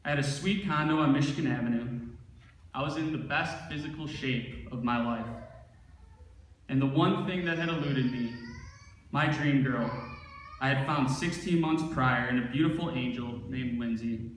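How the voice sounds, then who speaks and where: A man's voice: medium pitch, moderate pace. A man delivers a prepared speech at an award ceremony. His mid-range voice reverberates heavily around the room. He sounds some distance from the microphone, with a faint layer of noise. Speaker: speaker reading his brother's acceptance speech. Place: large echoing event room at an award ceremony. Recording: very echoey, far from mic, faint noise.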